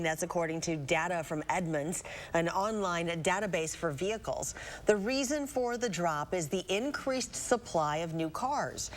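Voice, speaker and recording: Woman anchor reading evening news. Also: on the low side. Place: television news studio.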